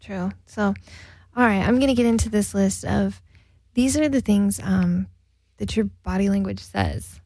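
A woman's voice: medium pitch, a little slow, dark tone, dry recording, clean studio sound. A woman co-hosting a casual radio chat show, reading listener emails and laughing often.

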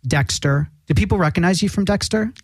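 A man hosting a talk show, interviewing a guest with joking, deadpan teasing. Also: sits high, brisk, no echo, studio quality. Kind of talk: comedic interview.

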